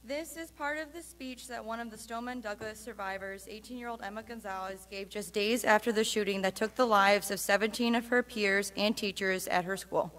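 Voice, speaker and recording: A woman, a church member, giving a steady, emphatic public reading of a speech in a church. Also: mid-range voice, a little fast, few pauses.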